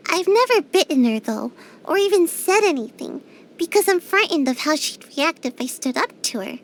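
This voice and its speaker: A woman's voice: very high voice, lively intonation, normal speed. A woman voicing a tiny, sleepy young ninja girl in a game, drowsy and a little whiny, shy but grumpy. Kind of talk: game character voice lines.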